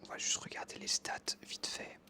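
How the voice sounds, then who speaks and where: An ASMR creator whispering casually into a close microphone over gameplay, rambling with frequent hesitations. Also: moderate pace. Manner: soft whisper, casual and rambling with many fillers.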